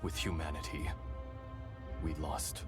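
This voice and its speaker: An actor playing a weary, benevolent remnant spirit of an ancient dragon in human form, speaking gravely and deliberately in video game dialogue. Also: male voice, very deep voice, little pitch movement. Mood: grave, sorrowful. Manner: grave, deliberate, measured storytelling. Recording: voice under clear background music.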